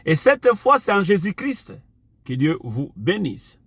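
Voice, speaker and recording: Slow, gravelly preacher. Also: male voice, high-pitched, wildly swooping pitch, a bit bright, no echo. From Angola. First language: Portuguese. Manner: slow, deliberate preaching with repeated refrains. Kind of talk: online sermon.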